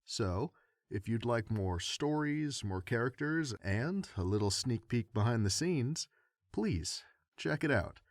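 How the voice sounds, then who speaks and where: A man's voice: medium pitch, animated, slow pace, dry recording, clean studio sound. A man delivering a scripted promotional intro as the creator of audio roleplays.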